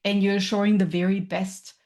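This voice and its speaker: A woman's voice: medium pitch, level tone, moderate pace, a little mellow. Woman, German accent, podcast guest, thoughtful, explains with examples, upbeat. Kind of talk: casual interview chat.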